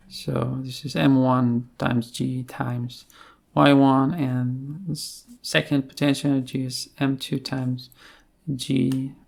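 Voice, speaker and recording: A tutorial instructor explaining things off the cuff, in a halting way with pauses mid-phrase. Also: medium pitch, creaky. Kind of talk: unscripted screencast tutorial explanation.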